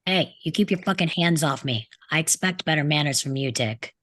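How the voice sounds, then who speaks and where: Female reader for a wisecracking delinquent teen lad, deep for a woman, cheeky table-read delivery. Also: a little fast, a bit bright, no room sound, studio quality. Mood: defiant. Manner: flippant, defiant. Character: insolent, wisecracking teenage delinquent boy. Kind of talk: table read of a film script.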